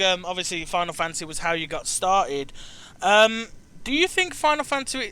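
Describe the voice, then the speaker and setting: High, sharp, clear-voiced male host. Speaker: chat show host. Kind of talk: online interview.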